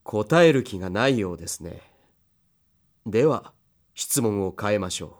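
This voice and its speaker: An actor playing a stern male interrogator in an audio drama, questioning in a formal, measured, insistent manner. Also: fairly low pitch, animated, close to an everyday voice. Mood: cool, insistent. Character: stern, controlled male interrogator, coolly jealous lover. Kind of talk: Japanese audio drama acting. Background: music.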